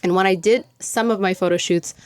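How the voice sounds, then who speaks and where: A woman's voice: mid-range voice, steady tone, fairly quick, clean tone. A young woman hosting her own podcast, chatting casually and gushing, with lots of "like". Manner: chatty and gushing, heavy use of "like". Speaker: podcast host. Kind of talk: casual solo podcast chat.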